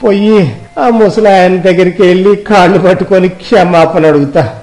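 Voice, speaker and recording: A man playing an arrogant rich village husband in a moral story, complaining and exclaiming in drawn-out phrases. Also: high-pitched, darkish tone, soft background music, lightly acted. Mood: remorseful. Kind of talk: acted moral-story dialogue.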